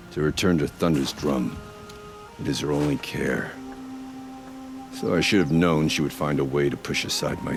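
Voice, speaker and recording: Super deep gravelly warrior chief, stern and solemn, game cutscene with music under it. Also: male voice. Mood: bitter, sorrowful. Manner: solemn, terse, weighty formal phrasing. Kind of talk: dramatic video game acting.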